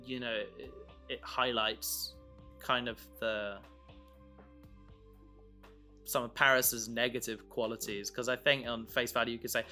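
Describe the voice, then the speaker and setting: A man in a casual discussion as a co-host, talking informally and haltingly as he thinks aloud. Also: mid-range voice, level tone, sharp tone. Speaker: co-host, audio drama creator. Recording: music playing underneath.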